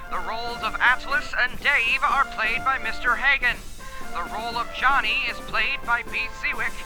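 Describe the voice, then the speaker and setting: A woman's voice: on the high side, poor audio. A woman reading scripted closing credits as an announcer. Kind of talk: scripted narration. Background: music.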